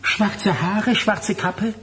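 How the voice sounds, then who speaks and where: An actor in a German radio play voicing a nervous, evasive lawyer and distracted husband, hesitant and often repeating himself. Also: male voice, sits high, very slow, fairly bright tone, slight background noise, full character voice. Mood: defensive, agitated.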